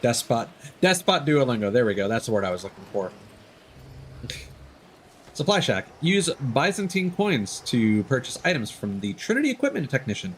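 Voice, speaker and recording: A man's voice: medium pitch, big pitch swings, normal speed. A man giving casual live game commentary, muttering joking asides to himself. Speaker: game streamer. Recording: clear background game noise. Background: video game sound.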